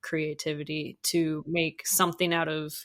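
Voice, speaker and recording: A woman's voice: sits low, little pitch movement, a little slow, a bit bright. A woman speaking as a guest composer in a casual interview, informal and enthusiastic in how she talks. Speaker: guest, composer for audio dramas.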